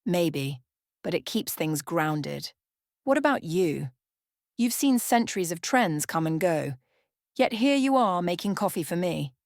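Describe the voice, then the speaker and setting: A woman's voice: on the low side, very animated, measured pace, no echo. Woman playing a wry, easygoing male hacker, dry sound. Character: young male hacker, amused, easygoing, grounded.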